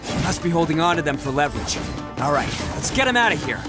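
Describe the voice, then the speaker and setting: An actor playing a boisterous, cocky, kind-hearted young warrior in a video game, speaking in a brash, showy, emphatic way. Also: male voice, on the high side, big pitch swings, quick pace, over music. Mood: reassuring. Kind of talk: animated video game voice acting.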